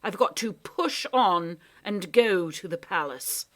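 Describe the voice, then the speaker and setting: Woman putting on a smug creature guy, casual and fake-polite, slow and sing-song. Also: fairly low pitch, animated, fairly bright tone, exaggerated voice. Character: smooth, scheming male creature, cheerfully menacing.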